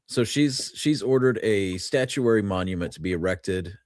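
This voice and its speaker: A man's voice: fairly low pitch, animated, moderate pace, a little mellow, no room sound, studio quality. A man hosting a casual chat, reacting briefly in a laid-back, informal way.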